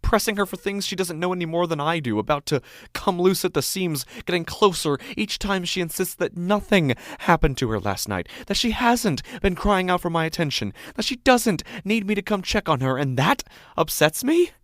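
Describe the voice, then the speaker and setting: A man playing an anguished, guilt-ridden father in a halting, brooding horror monologue. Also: high-pitched, sing-song, a bit bright, no echo, clean studio sound. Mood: agitated, angry. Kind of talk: first-person horror drama monologue. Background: prominent music.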